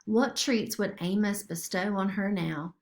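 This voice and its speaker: A woman's voice: medium pitch, a little slow. A woman narrating a children's story expressively, as a storybook reader.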